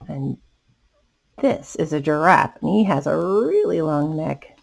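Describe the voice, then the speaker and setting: A woman's voice: sits low, a little slow, creaky. A woman hosting children's storytime, reading a picture book expressively and adding asides to young listeners. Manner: animated, addressing young listeners.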